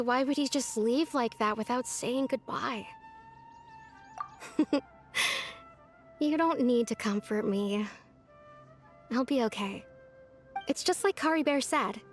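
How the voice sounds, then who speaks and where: Game village girl: youthful, high, sincere and wistful, music underneath. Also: takes it slowly, long pauses. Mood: brave, bittersweet. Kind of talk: video game character acting. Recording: background music over clean game dialogue.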